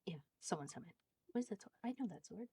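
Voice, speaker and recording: A woman's voice: on the low side, expressive, quick pace, vocal fry, background noise. A woman giving casual livestream commentary as she plays a game, often muttering hesitantly to herself. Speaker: game streamer.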